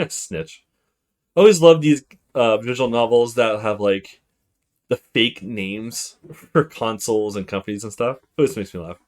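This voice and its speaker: A man's voice: medium pitch, measured pace, darkish tone. A man hosting a let's play, chatting to viewers and reading visual novel text aloud. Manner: chatty, greets and addresses viewers directly. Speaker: let's player, host of a small gaming channel.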